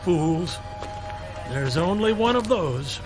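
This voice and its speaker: An actor playing a courteous, composed doctor in a radio drama, speaking in a polite, measured way. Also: male voice, a little high, expressive. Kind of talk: naturalistic radio drama acting. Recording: clear music under the voice.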